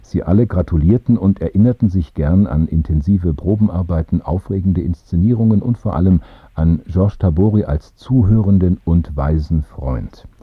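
A man's voice: deep voice, slightly rough. A man presenting a radio programme from a script. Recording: dull, roomy lo-fi radio recording.

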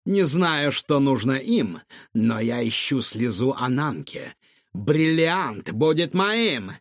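A man doing a shrill greedy swindler woman. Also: sing-song, slow, no echo, clean studio sound, full character voice. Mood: defiant. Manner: shrieking, defiant. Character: greedy shrill female swindler, ex-fortune-teller.

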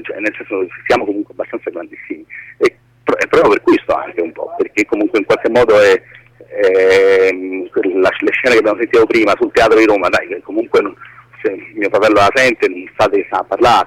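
A man's voice: on the low side, low-quality recording. A man with a Roman Italian accent giving a casual, unscripted interview as a screenwriter, rambling and correcting himself in colloquial speech.

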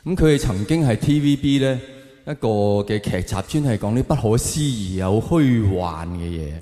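A man's voice: medium pitch, expressive, normal speed, warm tone. A man with a Hong Kong Cantonese accent performs live stand-up comedy, talking conversationally and directly to his audience on stage. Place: on stage in a large indoor venue. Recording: echoey large hall with long reverb.

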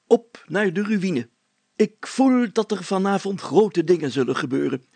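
Pompous, high-pitched, excitable village mayor. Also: male voice, vocal fry, slightly rough, no echo, heavily acted. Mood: pompous, eager. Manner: pompous, declamatory, drawn-out solemn phrasing.

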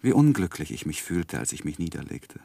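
An actor playing an earnest, sensitive young gentleman who narrates his life in a 1960s German radio play, measured and heartfelt. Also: a bass voice, sing-song, gravelly, no echo, full character voice. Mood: reflective, downcast. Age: young adult.

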